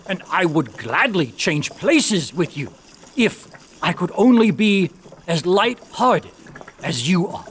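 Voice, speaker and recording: A man's voice: high-pitched, slight background noise, exaggerated voice. A man voicing a grand, melancholy king in a storybook tale, speaking in a stately, emphatic, wistful way. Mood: emphatic, envious. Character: grand, melancholy king envying a humble miller. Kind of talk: storybook character voice.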